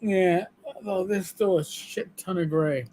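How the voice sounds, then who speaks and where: A man in his fifties co-hosting a livestream in casual, rambling chat, self-deprecating and prone to halting restarts. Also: high-pitched, dark tone, poor audio. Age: 50s. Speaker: livestream co-host.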